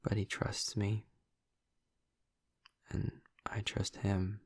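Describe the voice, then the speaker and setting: A man playing a gentle, earnest vampire boyfriend in an intimate audio roleplay, speaking softly and haltingly in a husky, breathy, hushed voice. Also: lowish voice, completely flat delivery, no room sound, clean studio sound, exaggerated voice. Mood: reflective, subdued. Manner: halting, soft-spoken, confiding with long pauses. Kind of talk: intimate audio roleplay, ASMR-like soft delivery.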